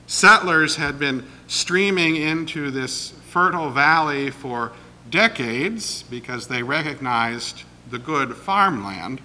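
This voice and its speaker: A man preaches slowly and deliberately, his voice a little high and bright, with a slight croak left over from a cold. Long reverb from a church sanctuary trails behind every phrase. He clears his throat every so often between his points. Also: animated. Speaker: pastor. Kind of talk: sermon.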